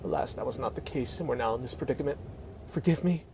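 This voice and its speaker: An actor playing a remorseful but determined young male leader in an amateur script read, apologetic yet steady. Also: medium pitch, quick pace, creaky, close to an everyday voice. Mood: somber, cold. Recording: faintly noisy home microphone recording.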